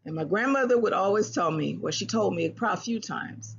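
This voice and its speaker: A speaker giving earnest relationship advice in a self-help talk, often restarting phrases and slowing down to stress a point. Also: medium pitch, lively intonation, gravelly, dull audio, slight background noise. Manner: earnest, repeats and restarts phrases, slows for emphasis.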